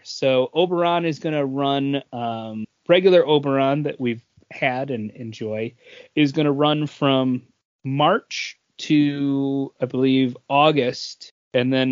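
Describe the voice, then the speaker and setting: A man co-hosting a podcast in casual chat, telling things in a halting, conversational way. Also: mid-range voice, no echo. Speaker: podcast co-host. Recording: dull lo-fi audio.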